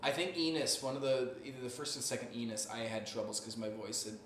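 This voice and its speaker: A man co-hosting a casual live chat, chatty and quick to laugh and tease. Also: medium pitch, fairly bright tone. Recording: echoey room, faint noise.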